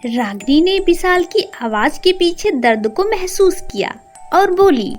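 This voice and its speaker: A woman narrator tells a scripted, dramatic story. Her high voice moves expressively up and down, with soft music behind her. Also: lively intonation, measured pace.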